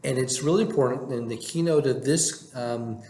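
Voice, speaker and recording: A man talking casually to camera as a vlogger giving advice, with halting delivery and frequent hesitations. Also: medium pitch, darkish tone. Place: indoor room with plain wall, working from home. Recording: echoey room with faint background noise.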